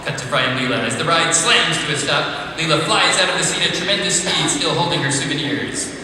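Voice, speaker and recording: A man's voice: fairly high pitch, brisk, pushed and bright, some chatter behind. A man reading scripted stage directions as narrator of a live comedy table read. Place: large convention ballroom with audience. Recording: echoey noisy hall, distant mic.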